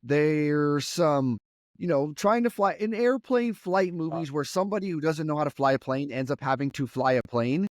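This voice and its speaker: A man's voice: on the high side, expressive, normal speed, no room sound, studio quality. A man co-hosting a movie podcast, hesitant with many "um"s but blunt in his verdicts. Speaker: podcast co-host. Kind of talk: casual movie review chat.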